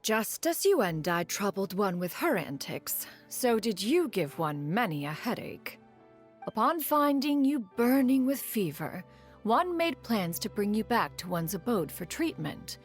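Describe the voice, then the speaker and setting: A woman playing a proud, ancient crane spirit in a video game, a fond mentor who speaks formally, in measured, archaic phrases. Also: medium pitch, sing-song, faint music behind. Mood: wryly reminiscing. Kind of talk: scripted video game dialogue.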